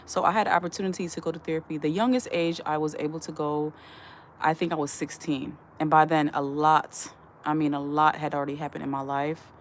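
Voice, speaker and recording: A woman's voice: low-pitched, fairly even intonation, a little fast, slight background noise. A woman hosting a live stream in a casual chat, reading viewer comments aloud, answering them and giving earnest advice. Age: adult. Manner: earnest, advising tone. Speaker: livestream host.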